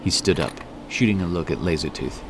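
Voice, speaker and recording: A man's voice: low-pitched, fairly quick, background noise. A man reading a fantasy audiobook as narrator, in a dramatic scripted style. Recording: loud epic music behind the voice. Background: loud epic music.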